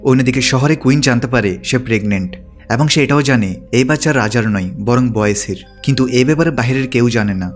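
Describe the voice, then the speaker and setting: Fast-talking man narrating over music. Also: mid-range voice. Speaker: movie recap narrator. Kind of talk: scripted narration, plot recap.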